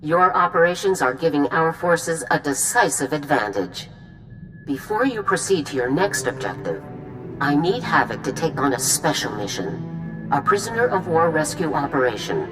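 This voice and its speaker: A woman playing a composed military general, giving formal, measured briefings in a video game cutscene. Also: sits low, very animated, over music. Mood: composed, businesslike.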